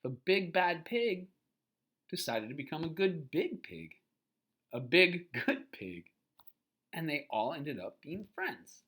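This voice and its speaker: A storybook reader giving an expressive children's read-aloud, pausing to explain and ask questions. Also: mid-range voice.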